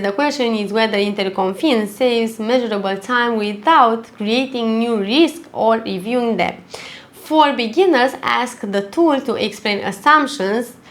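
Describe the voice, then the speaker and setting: A woman narrating a scripted product review in a measured, clearly structured delivery. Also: on the high side.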